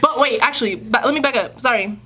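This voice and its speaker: A woman's voice: fairly high pitch, quick pace, crowd noise. A woman, co-hosting a podcast in casual chat, telling stories with asides, laughs and swearing. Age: 20s. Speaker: podcast co-host.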